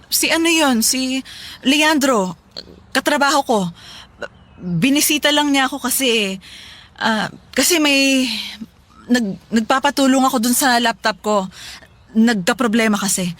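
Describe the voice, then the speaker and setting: A woman's voice: high-pitched, measured pace, pauses often, fairly bright tone. A female actor playing a working wife and mother with something to hide, in a radio drama. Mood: startled, evasive. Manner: hesitant, stammering when cornered.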